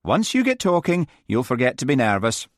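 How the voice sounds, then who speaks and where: A man's voice: medium pitch, huge pitch swings, brisk, no echo, clean studio sound, pitched above the performer's own voice. A man voicing an affectionate, encouraging wife. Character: fond, reassuring wife.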